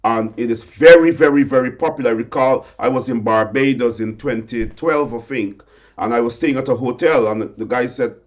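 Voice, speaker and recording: A man's voice: medium pitch, normal speed. A Guyanese man interviewing a guest, talking in long rambling turns with many repeated words and restarts. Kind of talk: conversational interview.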